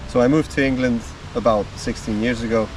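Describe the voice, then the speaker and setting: A man, talking outdoors in the woods as a drumming vlogger, easygoing and unhurried. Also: mid-range voice, steady tone, darkish tone. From Slovakia. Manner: slow, easygoing storytelling with small pauses. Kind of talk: casual self-introduction to camera. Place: outdoors in woodland. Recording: distant mic with faint music behind.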